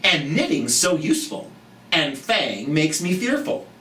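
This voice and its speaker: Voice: big pitch swings, unhurried, sharp tone. A storyteller voicing a small, nervous but loyal male mouse from a picture book. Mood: nervous, reassuring. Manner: nervous, squeaky. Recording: roomy, distant mic, background noise.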